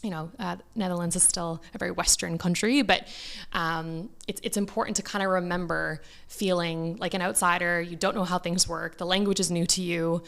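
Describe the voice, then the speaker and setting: A woman's voice: on the low side, fairly even intonation, moderate pace, gravelly, a bit bright. A Canadian woman chatting casually as an interview guest, telling things in a chatty way with quoted asides. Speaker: talent agent, interview guest.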